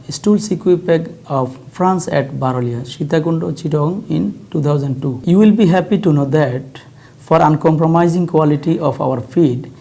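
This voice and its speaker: Creaky, mellow Bangladeshi speaker giving formal speech. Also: mid-range voice. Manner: formal, reading from a script. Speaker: company executive giving a speech. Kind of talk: formal prepared corporate speech.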